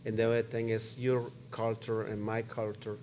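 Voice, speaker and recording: A man's voice: fairly low pitch, level tone, moderate pace, a little mellow, slight background noise. A middle-aged man pastor, a guest in an interview, speaking in short, simple phrases and repeating words for emphasis. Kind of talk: casual conversational interview.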